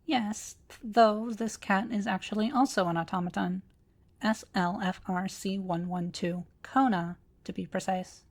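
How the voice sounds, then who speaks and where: A woman's voice: mid-range voice, steady tone. Woman voicing a formal, precise automaton librarian. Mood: calm, instructive. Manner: formal, precise, measured diction. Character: ancient female automaton librarian, courteous, formal, calmly curious. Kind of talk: scripted roleplay audio drama addressed to listener.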